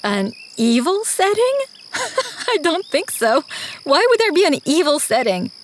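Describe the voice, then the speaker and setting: A woman's voice: high-pitched, sing-song, unhurried, slight background noise. A woman playing a wistful, wry and homesick character in a naturalistic audio drama. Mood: teasing, reflective. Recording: faint outdoor ambience with insects.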